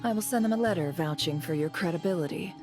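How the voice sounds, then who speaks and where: A woman's voice: on the low side, fast talker, natural voice. An actress playing a shrewd, haughty businesswoman in a video game, dry and composed in her sarcasm, with music underneath. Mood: businesslike. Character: shrewd, haughty businesswoman boss, coolly sardonic.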